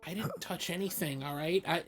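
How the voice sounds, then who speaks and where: A woman voicing an awkward, puzzled young adventurer in an improvised comedic fan dub of a fantasy game, speaking casually and haltingly. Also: fairly low pitch, lightly acted. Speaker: amateur live fan-dub voice actor. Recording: background music under the voice.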